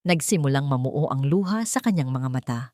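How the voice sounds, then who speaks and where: A woman's voice: rumbling low, expressive, brisk, warm tone, no room sound, clean studio sound. A woman narrating a scripted dramatic story as an audiobook reader.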